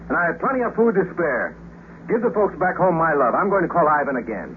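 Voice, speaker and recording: An actor playing a brash, wisecracking, good-hearted American astronaut in a 1950s radio drama, breezy, sarcastic and slangy. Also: male voice, on the high side, big pitch swings, quick pace. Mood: earnest, exasperated. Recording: muffled tinny 1950s radio recording, hissy.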